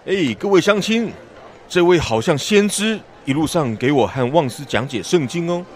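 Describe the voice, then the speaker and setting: A man's voice: on the high side, lively intonation, normal speed, slight background noise, close to an everyday voice. An actor playing an earnest, friendly village man and disciple in a radio Bible drama, speaking in a hearty, theatrical way. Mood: hearty, inviting.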